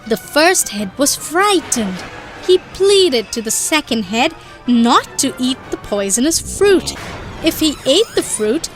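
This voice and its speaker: Brief: patient cartoon mom, high animated voice, slow instructive storytelling delivery for small children, music underneath. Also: female voice, heavily acted. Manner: deliberate, instructive. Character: patient mother telling moral stories to her children.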